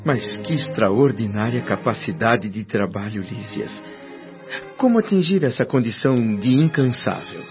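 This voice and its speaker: A man's voice: mid-range voice, lively intonation, moderate pace, natural voice. A Brazilian actor playing an earnest, troubled male newcomer to the spirit world in a radio drama, questioning in a hesitant, searching way. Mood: amazed, admiring. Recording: dull lo-fi radio drama, music behind. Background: music.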